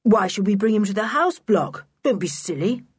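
A woman voicing a polite, quick-thinking boy in a children's storybook. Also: medium pitch, breathy edge. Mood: hurried, dismissive. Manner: polite, lively.

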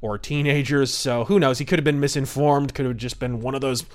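A man's voice: mid-range voice, a little fast. A man hosting a podcast, giving casual history commentary in a conversational way with wry asides. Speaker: podcast host.

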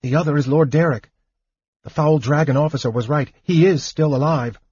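A man voicing a young male knight who speaks quickly and anxiously. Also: fairly high pitch, a little mellow, husky, dry recording, pitched above the performer's own voice. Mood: urgent. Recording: dull dry lo-fi audiobook recording.

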